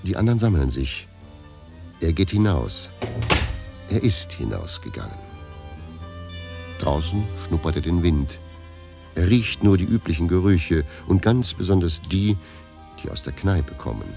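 A man giving scripted literary narration for a radio play in a measured, deadpan manner. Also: low voice, gravelly, faint music behind.

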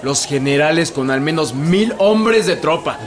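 Indignant, high-voiced Mexican delegate. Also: male voice, expressive, measured pace. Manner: complaining, indignant. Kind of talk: historical radio drama acting. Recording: loud crowd behind.

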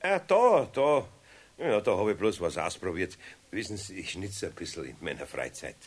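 A man's voice: sits low, very animated, normal speed, husky, exaggerated voice. A man playing a kindly, good-humoured older master carpenter in a radio play, with a Bavarian accent and a homely, chuckling manner. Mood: friendly, bashful. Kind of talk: 1970s children's radio play acting.

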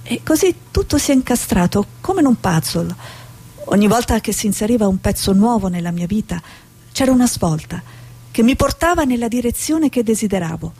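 A woman's voice: medium pitch, animated, a little fast, mellow, slightly rough. An actress playing an idealistic, earnest Italian woman journalist in a stage drama. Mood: reflective, earnest.

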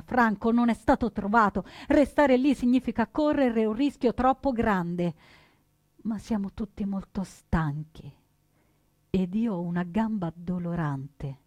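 An actress playing a wounded, fierce and anguished young partisan woman in a theatrical first-person monologue, speaking intensely in deliberate, short emphatic phrases. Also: fairly low pitch, a little mellow, no echo. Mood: weary, hushed. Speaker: stage actor.

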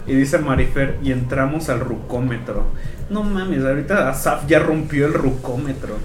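A man's voice: medium pitch, moderate pace, reverberant, a crowd in the background. A man with a Mexican accent co-hosting a podcast, reading out viewer comments and joking in casual chat. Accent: Mexican Spanish. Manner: animated, reads out viewer comments. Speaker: podcast co-host.

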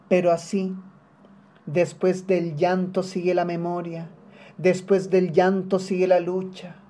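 A poet with a Chilean accent reciting an elegiac spoken-word protest poem in a solemn, deliberate way, with litany-like repetition. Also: medium pitch, dark tone.

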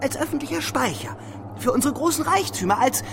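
An actor playing a frail, sickly young idealist who dreams feverishly in a radio drama. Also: male voice, very high voice, lively intonation, a little fast, gravelly, background noise, heavily acted. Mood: feverish, dreamy. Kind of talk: German radio drama acting.

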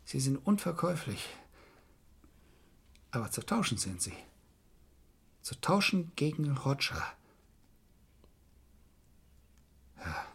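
An actor playing a cornered, self-righteous lawyer confessing to murder in a 1970s radio crime drama, husky and deliberate. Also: male voice, fairly high pitch, full character voice. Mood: mimicking, scornful.

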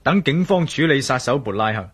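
An actor playing a calm, rational male investigator in a radio drama, speaking patiently with a Hong Kong Cantonese accent. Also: mid-range voice, fairly quick, slightly rough. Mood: thoughtful. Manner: composed, reasoning, patient.

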